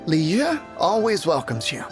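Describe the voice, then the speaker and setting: A woman's voice: fairly low pitch, big pitch swings, unhurried. A woman voicing a friendly, slightly wistful local resident in a video game. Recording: game music under the voice.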